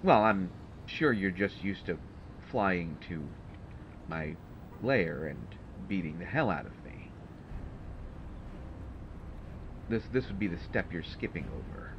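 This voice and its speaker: A man playing an exasperated, haughty supervillain who grudgingly helps his hero, talking haltingly and with dry sarcasm in a roleplay audio drama. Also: animated. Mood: teasing, sarcastic. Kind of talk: roleplay audio drama, one side of a dialogue. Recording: dull lo-fi audio, loud ambient rumble.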